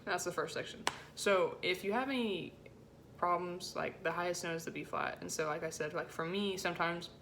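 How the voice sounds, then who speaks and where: A woman with a low-set voice giving an informal music rehearsal lesson as a choir section leader, in a casual, encouraging way with hesitant phrasing. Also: moderate pace, fairly bright tone, a little hiss or hum. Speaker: choir section leader teaching alto parts.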